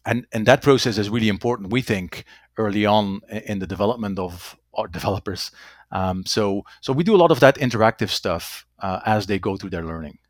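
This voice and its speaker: Low-voiced man, halting speech. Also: no room sound, clean studio sound. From Belgium. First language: Dutch. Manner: halting, repeats words, tags sentences with "right?". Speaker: company executive guest. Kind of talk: conversational interview answers.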